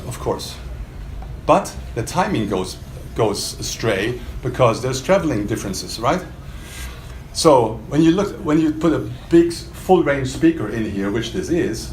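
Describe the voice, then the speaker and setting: Echoey room, man giving an emphatic product demo. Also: medium pitch. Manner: emphatic, quizzing listeners with rhetorical questions. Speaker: product demonstrator for a loudspeaker maker. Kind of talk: spontaneous product pitch to a small audience. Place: indoor hotel demo room. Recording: roomy trade show demo, faint background noise.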